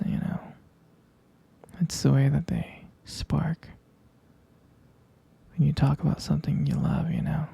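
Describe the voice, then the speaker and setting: A man playing a tender, devoted husband in an intimate ASMR roleplay, speaking slowly and hesitantly in a soft, breathy, creaky whisper. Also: a little high, exaggerated voice. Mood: adoring. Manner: slow, soft, hesitant, doting murmur. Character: tender, devoted husband comforting his pregnant partner.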